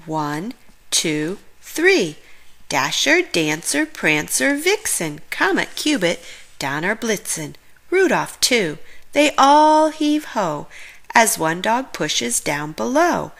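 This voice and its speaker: Very slow, animated female storybook reader. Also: medium pitch, vocal fry. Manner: expressive, drawn-out words and dramatic pauses. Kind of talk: read-aloud picture book narration.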